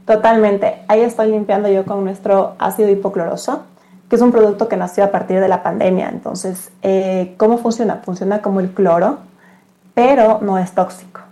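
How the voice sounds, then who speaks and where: Female guest, business representative, soft-edged dark timbre, mid pitch, casual explanatory delivery. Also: fairly even intonation, moderate pace. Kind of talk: casual explanatory interview talk.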